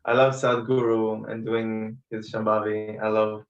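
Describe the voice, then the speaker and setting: A man's voice: fairly low pitch, level tone, unhurried, reverberant. A man from Ukraine chatting as a guest on a video call, rambling with many restarts and fillers. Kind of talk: casual chat. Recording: distant, dull video-call audio.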